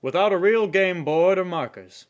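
Earnest, high-pitched old-time radio host played by a man. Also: normal speed, natural voice. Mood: knowing, folksy. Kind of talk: parody of golden-age radio announcing.

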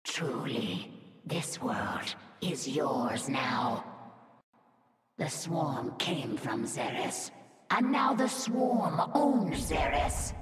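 Slow, echoing insectoid brood mother. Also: a bass voice, exaggerated voice. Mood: proud, menacing. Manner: imperious, deliberate, formal. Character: fierce, proud female alien insectoid brood mother. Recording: echoing, reverberant, slightly distant with music.

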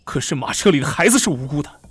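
An actor playing a proud, emotional prince regent of imperial China in an audio drama, dramatic and impassioned. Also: male voice, on the high side, lively intonation, fast, fairly bright tone, slightly rough, heavily acted. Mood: urgent, pleading. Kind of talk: Chinese historical audio drama acting. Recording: background music under the voice.

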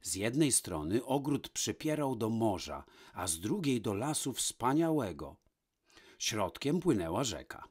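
Male storyteller, lowish pitch, scripted fairy-tale narration. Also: very animated, unhurried, a little mellow, breathy edge.

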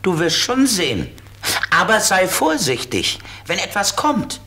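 A man voicing a fussy, excitable flying beetle who scolds, in a children's audio play. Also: high voice, a little slow, breathy edge, exaggerated voice. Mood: anxious warning. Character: fussy, squealing, ticklish male flying beetle, child's companion.

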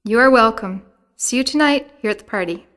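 A woman acts out a helpful female friend in a textbook phone dialogue. Her voice is fairly high as she gives directions in a friendly way. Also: brisk, fairly bright tone, natural voice.

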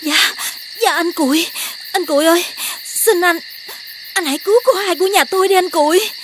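A woman playing an anxious young household servant in an animated folk tale, pleading deferentially. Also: very high-pitched, normal speed, noisy background. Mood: desperate pleading. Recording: clear night-time cricket ambience.

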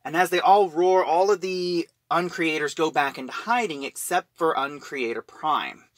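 A man giving a casual, informal story recap in an animated storytelling way with dramatic pauses. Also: on the high side, no room sound. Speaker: reviewer.

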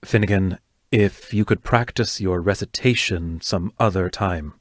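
A man's voice: deep voice, unhurried, no echo. An actor playing a stern, strained male schoolteacher in an audio play, formal and clipped in his delivery. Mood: strained, exasperated. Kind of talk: audio drama acting.

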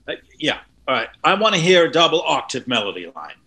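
A man plays a bullying, arrogant music teacher in a table read. His tone is sharp and animated, swinging widely in pitch as he condescends and goads. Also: brisk, slightly rough, exaggerated voice. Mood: challenging. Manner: condescending, goading. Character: arrogant, intimidating male music instructor, older, bullying. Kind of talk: script table read acting. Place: bright room at home, on video call. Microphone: computer mic on video call.

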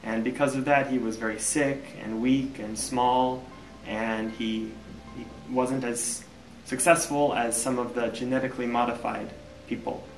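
An American man in his thirties talks about a favourite film in a relaxed, plain-spoken way. He sits some distance from the mic, so the room echoes around him, and faint music plays behind. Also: mid-range voice, measured pace. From United States, Kansas. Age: 30s. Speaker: university teacher, interviewee. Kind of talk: casual interview answers.

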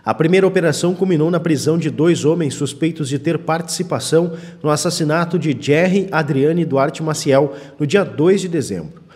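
A man's voice: fairly high pitch, moderate pace, a little mellow. A man reading scripted news reports in voice-over. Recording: long reverb on voice-over.

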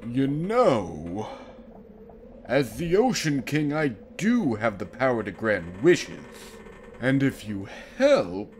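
A man voicing a solemn old ocean spirit king in a cartoon parody, deliberate and dryly wise. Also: mid-range voice, huge pitch swings, a little hiss or hum.

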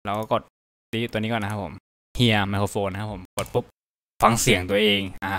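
A man with a fairly low voice hosts a casual software tutorial. He walks through steps at his computer, tacking polite particles onto almost every phrase. He speaks into a computer microphone. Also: little pitch movement, normal speed. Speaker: tutorial host. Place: indoors at a computer, voice over screen capture.

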